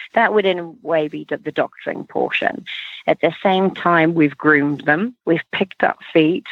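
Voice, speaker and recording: Female horse trainer, gravelly edge, emphatic step-by-step interview explainer, thin phone audio. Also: low-pitched, dry recording. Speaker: horse trainer and clinic instructor. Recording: band-limited phone line.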